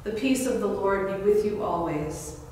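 A woman pastor in her forties leading liturgical prayers in a worship service, measured and solemn, speaking into a stand mic at a lectern in a large church sanctuary. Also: medium pitch, warm tone, a little hiss or hum. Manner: measured, solemn, slow responses. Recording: echoey sanctuary, picked up from a distance.

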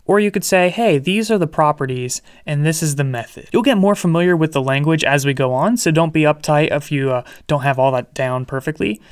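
A young man explaining programming in a relaxed, friendly way over a screen recording, with light jokes. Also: a little high, clean tone, no echo. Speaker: programming instructor. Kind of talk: screen-recorded coding tutorial.

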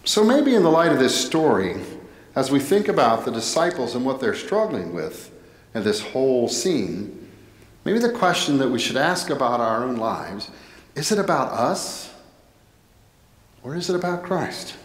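A middle-aged American man delivers a sermon in an earnest, deliberate way, often shaping his thoughts as rhetorical questions. His voice sits at a medium pitch. The sound is echoey, with the long reverb of a church interior around his headset-miked speech. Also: very animated. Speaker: pastor. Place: indoor church, at a pulpit. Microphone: headset microphone.

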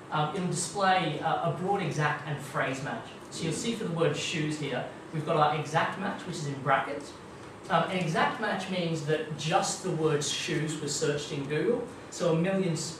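A young Australian man giving a casual, chatty tech talk at a meetup, full of ums and kind-ofs. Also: fairly high pitch. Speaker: guest speaker at a marketing meetup. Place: dim meeting room with projector screen. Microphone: far room camera. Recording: echoey room, distant camera, noisy.

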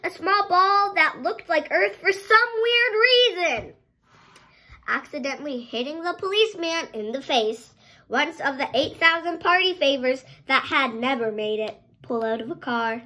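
A young girl reading a story aloud with dramatic, drawn-out emphasis, sometimes stumbling over words. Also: sits high, lively intonation, pushed and bright. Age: child, around 8 to 10. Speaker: child presenter reading a picture book aloud. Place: bedroom, indoors.

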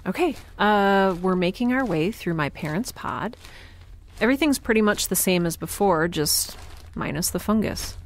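A woman's voice: mid-range voice, lively intonation, normal speed, natural voice. A woman playing a thoughtful, curious young scientist, conversational and a little hesitant, in a naturalistic audio drama.

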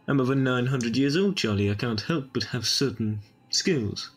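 A man acts an ancient but quick-witted time traveller in a sci-fi audio drama. He has a medium-pitched voice and a resolute manner. Faint music plays underneath. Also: animated, slightly rough. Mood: brisk, wry. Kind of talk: amateur audio drama acting.

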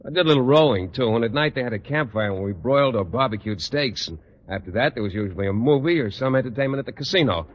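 A man's voice: mid-range voice, animated, fast, hardly any pauses, natural voice. An actor playing a bashful, naive male biology teacher with an American accent, earnest and hesitant, in 1940s radio comedy. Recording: muffled 1940s radio recording, light noise.

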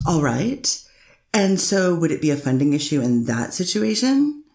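Low-voiced woman lawyer doing a steady cross-exam read by a woman, echoey room. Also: a little slow, heavily acted. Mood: steady questioning. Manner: methodical questioning, many "is that fair to say". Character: careful woman lawyer cross-examining a witness. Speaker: defense attorney. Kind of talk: courtroom transcript reading.

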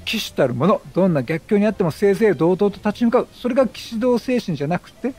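A man's voice: high voice, animated, quick pace, mellow. A man voicing an earnest, humble young knight from a video game, speaking in a formal, chivalrous way.

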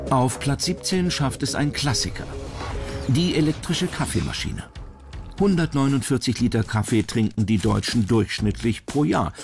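Documentary narrator, male, mid-range pitch, scripted read over a prominent music bed. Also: moderate pace, gravelly.

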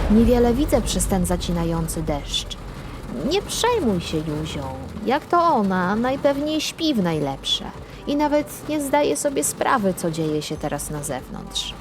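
A woman voicing a calm, practical father in a children's audio story. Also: mid-range voice, measured pace, noisy background. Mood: calm, reassuring.

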